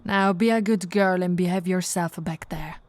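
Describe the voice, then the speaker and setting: A woman voicing a flirty, no-nonsense female police officer in an ASMR roleplay, switching between firm orders and soft, teasing coaxing. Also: on the low side, moderate pace, slight background noise, close to an everyday voice. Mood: brisk. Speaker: voice actress.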